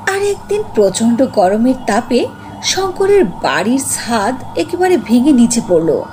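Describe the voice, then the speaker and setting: A woman's voice: a little high, darkish tone, a little hiss or hum. A woman giving scripted fairy tale narration for a cartoon.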